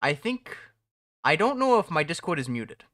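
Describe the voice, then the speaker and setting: A man's voice: a little high, sing-song, moderate pace, a bit bright, no echo, clean studio sound. A young man chatting casually on a live stream from home, rambling, laughing often and restarting his sentences. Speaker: streamer and mod developer.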